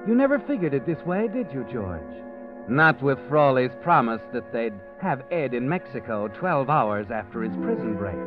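An ominous insinuating radio narrator. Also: male voice, mid-range voice. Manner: insinuating second-person narration. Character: ominous male narrator speaking to the killer. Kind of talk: 1940s radio drama narration. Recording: muffled old radio broadcast with music.

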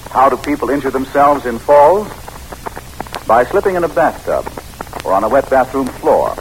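A man with an American accent hosting and announcing on 1940s radio in a measured, emphatic, instructive scripted style. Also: medium pitch. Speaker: radio announcer and program host. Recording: noisy vintage 1940s radio broadcast.

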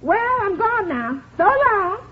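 Comic American housekeeper, very high swooping voice, deferential, lingering drawl of words, dull vintage radio recording. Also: female voice, sing-song, takes it slowly, heavy background noise, full character voice. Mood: dithering. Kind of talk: old-time radio comedy acting.

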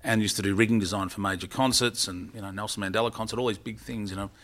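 Interview guest: middle-aged man, lowish voice, casual rambling storyteller who restarts his sentences. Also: fairly even intonation, fast, fairly bright tone. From Australia. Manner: rambling, self-correcting storyteller.

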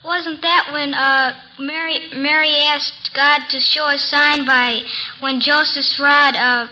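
A quiz show contestant giving eager, tumbling answers with uhs. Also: female voice, high voice, steady tone, takes it slowly, sharp tone, low-quality recording.

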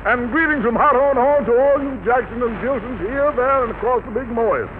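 A man's voice: very high-pitched, fairly quick, phone-quality sound. A thin, noisy old radio recording of a man as master of ceremonies. He hosts in florid 1940s jive style, full of showman's alliteration.

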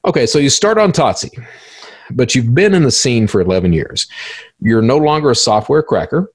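A man's voice: mid-range voice, animated, normal speed, slightly rough, no echo, clean studio sound. A man hosting a podcast and putting questions in a conversational interviewing style.

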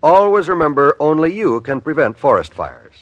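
Animated old-time radio announcer, a man. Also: on the high side, normal speed, no echo, dull audio, faint music behind. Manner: earnest, formal, emphatic.

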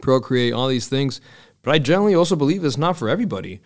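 A man's voice: mid-range voice, animated, fast. A man in his early thirties with an American accent, chatting casually and candidly as a podcast host, swearing freely. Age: early 30s. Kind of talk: casual conversational chat.

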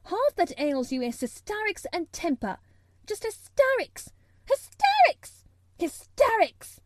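A woman voicing a furious, bossy little girl from a storybook, shouting commands and contradictions. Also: sits high, big pitch swings, takes it slowly, dry recording, full character voice. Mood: fierce, scornful.

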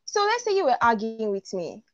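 Woman, straightforward and opinionated, fairly high voice, dull lo-fi stream audio. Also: big pitch swings, normal speed, soft background music. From Nigeria. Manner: direct, opinionated, lots of "like". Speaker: guest panelist. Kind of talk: live online discussion.

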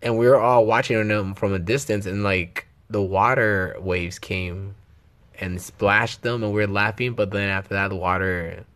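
A man's voice: on the low side, steady tone, moderate pace, fairly bright tone. A young man from the Los Angeles area vlogging in casual chat with his girlfriend, joking and cursing freely with slang.

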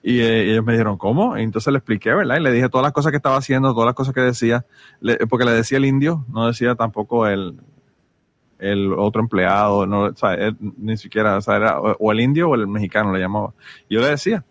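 A man's voice: lowish voice, fast. Profane storytelling man on a podcast. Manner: storytelling, swears freely, many restarts. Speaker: podcast co-host. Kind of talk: casual chat.

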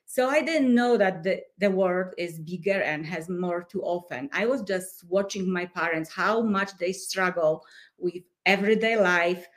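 A woman's voice: on the low side, measured pace. A woman from Poland with a Polish accent, a life coach and podcast guest who speaks in a thoughtful way and often searches for words. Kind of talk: podcast interview.

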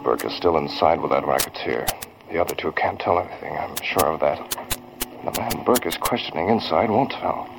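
Commanding masked vigilante, noisy old recording. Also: male voice, very deep voice, moderate pace, creaky. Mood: hushed, determined. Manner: terse, commanding. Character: masked vigilante posing as a cool, commanding racketeer. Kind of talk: old-time radio crime drama acting.

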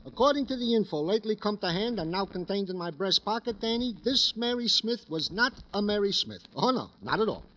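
High-voiced, wordy police sergeant. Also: male voice, lively intonation, fairly quick, few pauses, fairly bright tone. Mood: proud, verbose. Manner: flowery, mock-formal, roundabout. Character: eager, wordy, florid male police sergeant sidekick. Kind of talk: 1950s radio drama comic-relief acting. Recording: dull vintage radio recording.

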